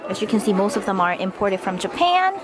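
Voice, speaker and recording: A young woman with a hoarse voice, vlogging with a handheld camera as she browses toy aisles inside a store and gushes over what she finds. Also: mid-range voice, brisk. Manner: excitable, gasping, gushing over finds. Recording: music playing underneath.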